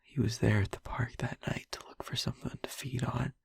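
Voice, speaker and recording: A man playing a traumatised young vampire boyfriend in an intimate audio roleplay, speaking in a breathy hush with halting pauses. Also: medium pitch, fairly even intonation, dry recording, heavily acted. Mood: subdued, haunted. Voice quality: breathy, whispery. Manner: halting, hushed, pausing mid-sentence.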